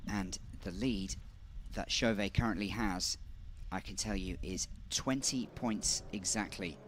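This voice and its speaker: A man giving live motorsport commentary as the race commentator, brisk and informative, leaning into the key moments. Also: fairly low pitch, a little hiss or hum.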